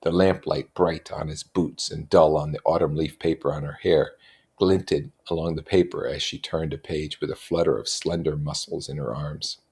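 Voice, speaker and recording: A man reading a literary novel aloud as an expressive narrator and commentator. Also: very deep voice, moderate pace, slightly rough. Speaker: reader and commentator.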